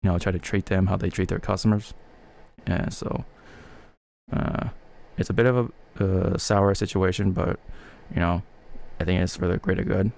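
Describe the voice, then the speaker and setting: A man co-hosting a gaming podcast in casual chat, with a halting, rambling way of talking. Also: low-pitched, steady tone, vocal fry, darkish tone. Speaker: video game podcast co-host.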